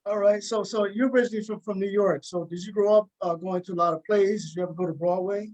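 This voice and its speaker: A man's voice: high-pitched, fairly even intonation, fast talker, a little mellow, low-quality recording. A man hosting a casual interview show, talking with guests in an informal way. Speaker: talk show host.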